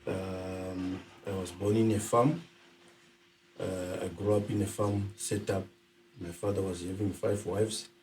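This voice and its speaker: A middle-aged man from Zimbabwe talks as an interview guest, pausing often on ums and uhs. His voice sits a little low. He is picked up from some distance, with a faint hiss behind him. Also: little pitch movement, darkish tone. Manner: hesitant, many filler sounds. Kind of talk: conversational interview.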